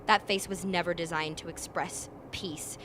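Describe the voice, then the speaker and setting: A woman playing a combative, sardonic expedition member in a radio drama, forceful and scornful. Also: on the low side, fairly even intonation, moderate pace, noisy background, close to an everyday voice.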